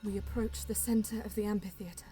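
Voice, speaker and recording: Woman playing a composed, mellow-voiced elven narrator. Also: mid-range voice, steady tone, fast, soft background music, lightly acted. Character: Eldar woman recounting a journey, composed, reverent. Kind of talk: audio drama narration.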